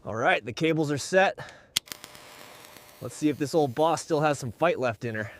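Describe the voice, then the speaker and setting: A man's voice: fairly high pitch, animated, normal speed, a little hiss or hum. A man working as a car restorer gives scripted commentary on the job.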